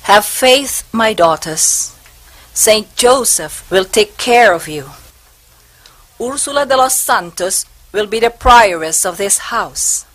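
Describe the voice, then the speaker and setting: A woman playing a devout, steadfast nun in an amateur stage play, motherly but firm, speaking in a solemn, deliberate manner. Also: medium pitch, animated, fairly bright tone, poor audio. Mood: solemn encouragement.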